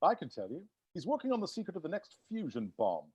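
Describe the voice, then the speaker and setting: Someone acting a husky, swooping theatrical butler sleuth. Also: medium pitch, huge pitch swings, quick pace, mellow, dull audio. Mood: sly, explanatory. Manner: theatrical, emphatic detective-style explaining. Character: excitable butler piecing together a murder mystery. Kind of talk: live table read of a comedy film script.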